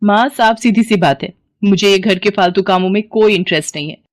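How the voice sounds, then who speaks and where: A woman's voice: mid-range voice, brisk, natural voice. A woman voicing a spoiled, bossy college girl who looks down on her sister. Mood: sulky. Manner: sulky, dismissive.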